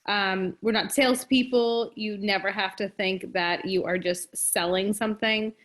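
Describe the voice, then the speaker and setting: A woman's voice: mid-range voice, fairly even intonation, a little slow, fairly bright tone. A woman fitness coach reading through presentation slides and adding her own comments, with frequent ums.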